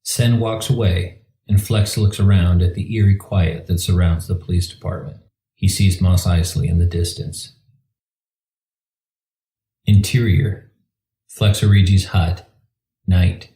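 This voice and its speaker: A male narrator reads scene directions in a very low, dark voice. The sound is roomy and echoing, with music faintly underneath his scripted narration. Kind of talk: scripted screenplay-style narration.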